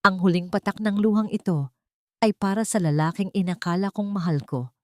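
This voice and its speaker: A woman's voice: mid-range voice, brisk, no echo, studio quality, natural voice. A woman voicing a desperate, wronged young mother in an audio drama, tender but resolute and earnest. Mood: bitter resolve.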